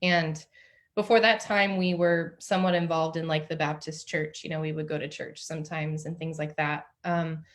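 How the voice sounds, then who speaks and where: A woman's voice: low-pitched, flat delivery, normal speed. A woman author from the southern United States, telling her story candidly and casually from her home office, with casual fillers. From Oklahoma and New Mexico, USA. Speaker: author, interview guest. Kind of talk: conversational storytelling.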